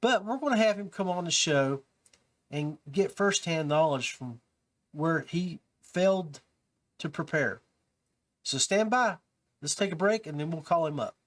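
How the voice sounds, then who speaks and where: A man's voice: fairly high pitch, lively intonation, a little slow. A man hosting a podcast, talking casually and informally straight to his listeners. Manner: informal, addresses listeners as guys and peeps. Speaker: podcast host.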